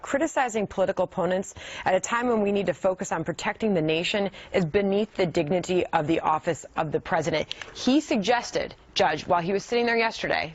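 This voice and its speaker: A woman co-hosting a news panel, reading out a quote and posing a measured question to a guest. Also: fairly low pitch, no echo, muffled recording. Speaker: panel co-host. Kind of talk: live news talk.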